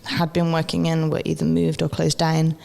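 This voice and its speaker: Gravelly, low-voiced woman from Wales. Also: monotone, moderate pace, mellow, big-room sound. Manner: chatty, laughs often, many ums and restarts. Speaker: guest, maker and educator. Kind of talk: relaxed conversational interview. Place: event venue at a festival.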